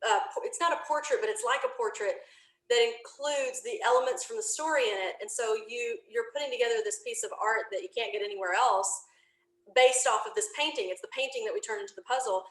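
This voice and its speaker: A woman's voice: on the high side, fast talker, a bit bright. A woman guesting on a podcast, chatting casually and often giggling as she talks. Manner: giggly, laughs while talking. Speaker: podcast guest, audio drama creator. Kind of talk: casual animated chat. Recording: roomy, echoing sound.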